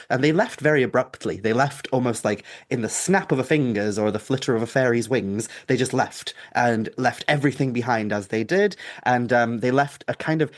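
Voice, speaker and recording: A man with a Welsh accent, a native Welsh speaker, patiently explaining things as a guest in a podcast interview, with frequent ums. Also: medium pitch. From Wales. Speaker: guest author.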